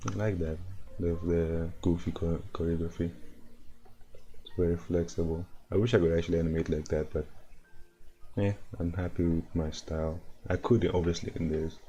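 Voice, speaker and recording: A man with a deep, dark, hoarse voice talks slowly into his desk mic, worn out from no sleep. He rambles in a laid-back way with little rise and fall, chuckling between thoughts as he reacts to clips on stream. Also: slight background noise. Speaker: animator streamer. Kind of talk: casual livestream chat and reactions.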